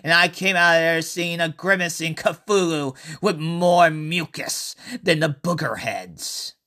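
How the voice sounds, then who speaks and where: A podcast host reading a horror story and promotional notes in a halting way, repeating phrases. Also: medium pitch, sharp tone.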